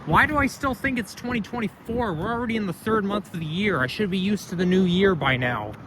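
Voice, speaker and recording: A young man with a loud voice, rambling and correcting himself as he talks through a casual walking vlog, recorded on a phone camera outdoors. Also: high voice, expressive, fairly quick. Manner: rambling, chatty, self-correcting. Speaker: vlogger. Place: outdoors on a street. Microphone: phone camera held while walking. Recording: outdoor street noise.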